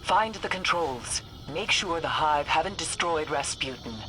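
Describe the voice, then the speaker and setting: An actor playing a small, earnest AI companion in a video game, giving terse, urgent guidance. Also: male voice, fairly high pitch, animated, measured pace, poor audio. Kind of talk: video game mission dialogue. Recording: loud game music over voice.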